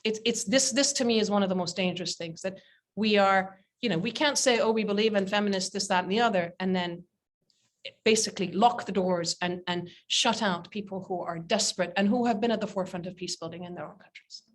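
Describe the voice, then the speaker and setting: A woman's voice: mid-range voice, fairly quick. Indignant, emphatic woman. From Britain. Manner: emphatic, indignant, repeats words for force. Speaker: panel speaker, head of a peace-building organization. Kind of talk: impassioned panel talk. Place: indoor room with framed pictures, on a video call. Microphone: video call.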